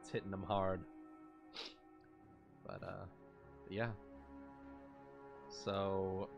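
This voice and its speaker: Halting, low-voiced man running a game, music behind. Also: monotone, vocal fry, lo-fi sound. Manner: halting, frequently pausing mid-phrase. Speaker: game master. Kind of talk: improvised narration of a tabletop game.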